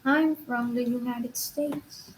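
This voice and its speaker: A woman's voice: fairly high pitch, fairly even intonation, slow pace, a little hiss or hum, rough recording. A woman learning English, hesitant and brief, repeating phrases after her tutor. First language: Vietnamese. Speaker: English student.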